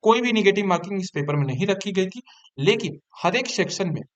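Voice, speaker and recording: A man with a fairly high voice talks as an online presenter. He explains things informally, like a tutor addressing students, and repeats key points and numbers to drive them home. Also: lively intonation, brisk. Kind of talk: informal explanatory talk to exam candidates.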